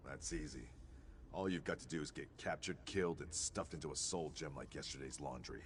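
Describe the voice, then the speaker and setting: A man voicing a gruff, battle-hardened fantasy warrior in a video game, boastful and sardonic. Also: rumbling low, fairly quick. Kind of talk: video game character dialogue. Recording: clearly noisy game ambience.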